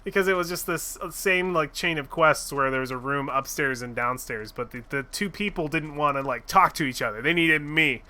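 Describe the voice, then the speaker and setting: A man's voice: on the high side, moderate pace, few pauses, sharp tone. A man streaming a fantasy game, chatting casually, joking, swearing and reading quest lines aloud. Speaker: gaming streamer. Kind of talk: casual gameplay commentary.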